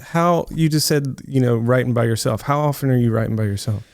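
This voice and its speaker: A man chatting casually on a podcast, upbeat and easygoing, saying "man" and answering with quick agreements. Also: medium pitch, dry recording, clean studio sound.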